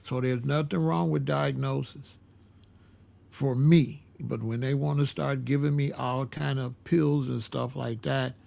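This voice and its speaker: Gravelly man in his sixties, rambling. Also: medium pitch, steady tone, measured pace, mellow. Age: 60s. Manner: rambling, repeats himself, many fillers. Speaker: vlogger. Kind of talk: casual storytelling monologue.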